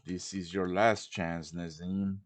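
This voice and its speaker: A man voicing a menacing male adventurer in a game, with a curt, intimidating, deliberate delivery. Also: sits low, little pitch movement, voice pushed down. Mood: cold threat. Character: menacing male adventurer threatening his target.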